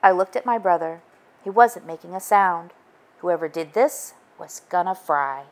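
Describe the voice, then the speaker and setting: A woman's voice: fairly low pitch, a little slow. A woman narrating a children's storybook as a read-aloud. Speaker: storybook reader.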